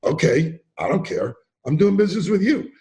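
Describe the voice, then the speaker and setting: A man talking as a podcast guest in a casual interview, animated and emphatic, acting out quotes as he tells stories. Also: on the high side, normal speed. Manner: animated, emphatic storyteller who acts out quotes. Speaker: podcast guest, sales trainer.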